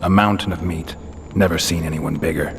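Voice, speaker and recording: An actor playing a jaded captive monster hunter in a fantasy video game, terse, sardonic and deadpan. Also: male voice, deep voice, brisk, noisy background, close to an everyday voice. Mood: terse, measured.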